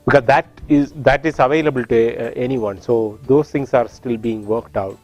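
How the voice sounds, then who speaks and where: A man from India with an Indian accent, teaching a course and explaining technical points with frequent pauses and restarts in a classroom. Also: medium pitch, fairly even intonation, soft background music. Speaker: course instructor. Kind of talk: classroom lecture.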